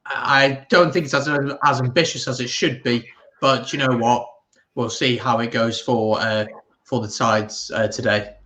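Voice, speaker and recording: A man speaking as a guest pundit, giving measured, conversational sports opinions with the odd tag question. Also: mid-range voice, fairly even intonation, sharp tone. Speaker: guest pundit on a rugby watch-along. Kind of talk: casual sports analysis.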